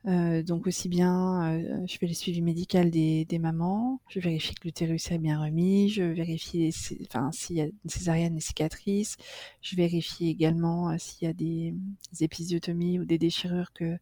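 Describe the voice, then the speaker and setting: A woman's voice: fairly low pitch, level tone, moderate pace, mellow, no echo. A middle-aged woman speaking casually in an interview, chatty and hesitant, with many fillers and restarts. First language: French. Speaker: interview guest.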